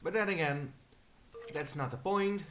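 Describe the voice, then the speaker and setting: A man's voice: fairly high pitch, a little slow, fairly bright tone, a little hiss or hum, rough recording. A young Dutch man with an accent, hesitantly narrating a tour of his game server with many fillers. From Netherlands. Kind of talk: casual screen-recorded walkthrough.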